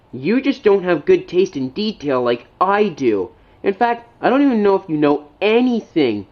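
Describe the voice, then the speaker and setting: A man's voice: on the high side, muffled recording. A man voicing a rude, boastful, grumpy tank engine in a cartoon, insulting and sneering as he talks. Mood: dismissive.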